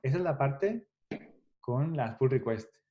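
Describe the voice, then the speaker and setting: Mellow-voiced man, thoughtful. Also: medium pitch, expressive, measured pace. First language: Spanish. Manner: reflective, restarts phrases often, laughs lightly. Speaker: guest developer. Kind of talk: casual podcast interview. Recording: dull lo-fi podcast audio.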